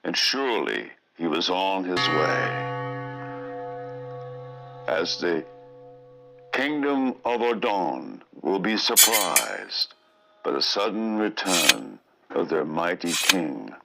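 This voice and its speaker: Male narrator for fantasy audio drama: theatrical and portentous, very slow delivery, widely swooping intonation, loud music underneath. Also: deep voice, very animated, gravelly. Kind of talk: dramatic scripted fantasy storytelling. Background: prominent music.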